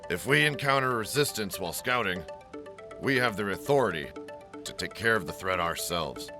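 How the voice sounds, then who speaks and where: A man's voice: fairly low pitch, lively intonation, moderate pace. A man playing a mild, plain-spoken military scout captain being interviewed in an audio drama, polite and unassuming. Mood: mild, slightly awkward. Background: music.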